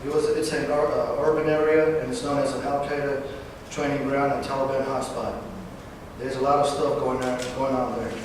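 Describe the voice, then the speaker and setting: A man in his twenties from Northern California, a veteran giving a personal talk, halting at times with fillers and self-corrections. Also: mid-range voice, flat delivery. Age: 20s. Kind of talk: personal speech read to an audience. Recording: distant, echoey hall recording with background noise.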